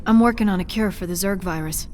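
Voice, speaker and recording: A woman's voice: medium pitch, fast talker, a little mellow, slight background noise, lightly acted. A woman playing an idealistic, earnest scientist in a video game cutscene, speaking articulately. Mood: earnest, concerned.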